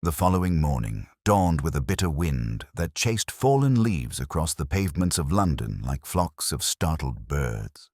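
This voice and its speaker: A man with an extremely deep voice narrates a scripted mystery. The delivery is measured and literary, with expressive rises and falls. The sound is dry and close, free of room echo. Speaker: audiobook narrator.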